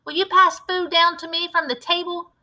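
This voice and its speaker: A woman's voice: very high-pitched, level tone, moderate pace, pushed and bright, voice pushed up, full character voice. A woman voicing a greedy, disagreeable little boy in a storybook, whiny and insistent about food.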